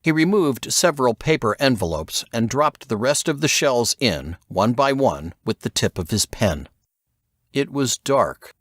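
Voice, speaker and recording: A man's voice: medium pitch, lively intonation, unhurried, dry recording, studio quality. A man reading a scripted work of fiction as an audiobook narrator.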